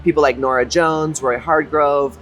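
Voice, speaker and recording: A drag performer and choreographer from Texas, chatting as a guest in a lively, campy, playful way with quick quips. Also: male voice, on the high side, faint music behind. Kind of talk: casual interview chat.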